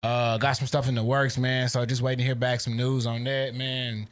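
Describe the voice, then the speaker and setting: A man hosting a casual podcast chat, talking in a relaxed way with slang and many fillers. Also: medium pitch, moderate pace. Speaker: podcast host.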